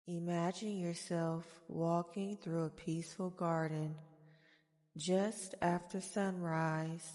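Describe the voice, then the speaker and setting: A woman guiding a meditation in a soft-spoken ASMR style, with soothing, gentle, deliberate phrasing. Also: on the low side, fairly even intonation. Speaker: guided meditation host. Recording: long reverberant echo.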